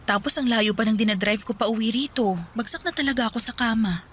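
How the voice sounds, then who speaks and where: A woman's voice: a little high, quick pace, fairly bright tone, a little hiss or hum, lightly acted. A woman playing a weary, evasive girlfriend who wants space, speaking in an exasperated, firm radio drama style. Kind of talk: Tagalog radio drama acting.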